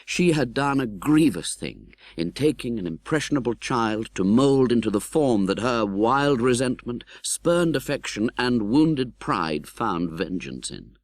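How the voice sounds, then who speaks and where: A British man playing an earnest, tender-hearted gentleman who recounts his own life in a dramatic, measured audiobook narration. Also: mid-range voice, very animated, dry recording. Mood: reflective, sombre. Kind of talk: dramatic literary audiobook narration in first person.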